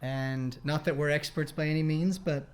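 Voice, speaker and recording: A man in his thirties from Canada, hosting a casual relationship chat in a relaxed, rambling way with joking asides. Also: a little high, moderate pace. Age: 30s. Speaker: podcast host.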